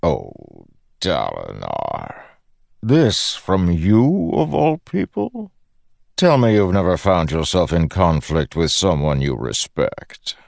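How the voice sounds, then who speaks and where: A man voicing an ancient malevolent god in a fantasy audiobook, smiling, paternal and patronizing, with unhurried theatrical delivery. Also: deep voice, wildly swooping pitch, creaky, darkish tone, no echo, studio quality, voice pushed up, exaggerated voice. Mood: wounded mockery.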